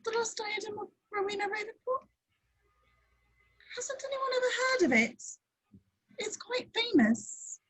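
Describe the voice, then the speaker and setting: A woman playing a dreamy, airy teenage witch girl in a screenplay table read, speaking in an unhurried, matter-of-fact way. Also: very high-pitched, very animated, a bit bright, heavily acted.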